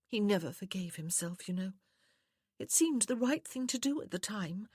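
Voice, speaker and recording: A woman voicing a soft, sweet, tearfully reproachful young widow in a period romance audiobook. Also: medium pitch, expressive, normal speed, husky, no room sound, clean studio sound, pitched above the performer's own voice. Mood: tearful regret.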